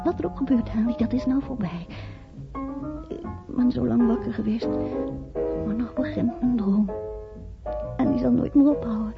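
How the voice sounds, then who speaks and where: A woman's voice: a little high, moderate pace, gravelly, pauses often. Dreamy lovestruck girl in her twenties, dull old radio play, music playing. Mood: dreamy, yearning. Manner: yearning, rapt. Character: dreamy, lovestruck 27-year-old variety dancer. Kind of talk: romantic radio drama acting. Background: loud music.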